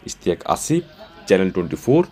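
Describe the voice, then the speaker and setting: Low-voiced man reporting news. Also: sing-song, very fast, dark tone, soft background music. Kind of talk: scripted news report with sign-off.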